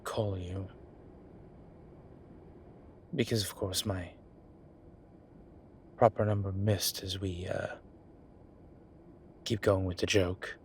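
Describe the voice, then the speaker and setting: A composed male demon professor in an audio roleplay, acted by a man, who teases in a measured, genteel way. He talks very slowly and unhurriedly, often sinking into a hush. A faint hiss of noise sits under the voice. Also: low voice, flat delivery. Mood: flirtatious. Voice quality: soft, often whispered, unhurried.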